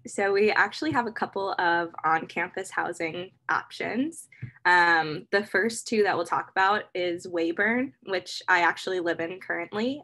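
A young woman with a bright, crisp tone and a slightly low pitch. She explains things in a chatty way over a video call as a dental student. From Michigan. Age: young adult.

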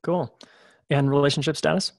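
A man's voice: a little high, steady tone, normal speed, vocal fry, no echo, studio quality. A man hosting a podcast interview in a casual, informal way, with short acknowledgements and questions.

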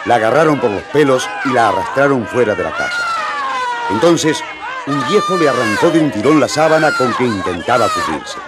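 A man's voice: mid-range voice, sing-song, normal speed. A man narrating a radio drama as a vivid, dramatic storyteller. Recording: crowd noise behind.

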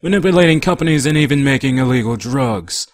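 A man playing a grave scientist sidekick, husky and serious, explaining things in an anime parody dub. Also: medium pitch, animated, quick pace, natural voice. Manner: expository, serious.

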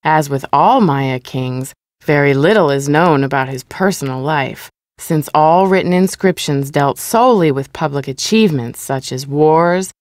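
A woman with a notably low voice reads museum exhibit narration for a video game. Her delivery is formal, measured and explanatory, and the sound is very dry. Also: darkish tone, no room sound.

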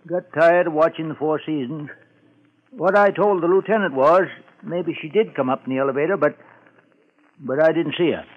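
Man as folksy, highish-voiced elevator operator. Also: normal speed, narrow, tinny audio, close to an everyday voice. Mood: gossipy, dim. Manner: folksy, literal-minded, gossipy. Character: folksy, slow-witted chatty male elevator operator. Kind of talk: 1950s radio acting. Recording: noisy, thin vintage radio recording.

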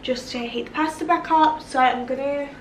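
A chatty young woman with a high, bright-sounding voice talks to camera at home, gushing with excitement and lots of fillers. Her voice is caught close on the camera mic, with a faint hum of room noise underneath. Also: moderate pace. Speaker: vlogger. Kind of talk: casual cooking vlog. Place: home kitchen and living room, indoors.

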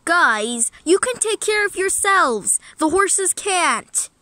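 A woman voicing a kind young woman who looks after horses, patient and gently scolding the boys. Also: very high-pitched, very animated, slow pace, dry recording, pitched above the performer's own voice, full character voice.

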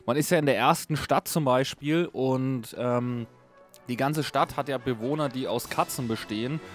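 Casting: male video host, chatty informal game reviewer, medium pitch, music underneath. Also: normal speed. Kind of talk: casual unscripted game review commentary. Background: music under a voice-over.

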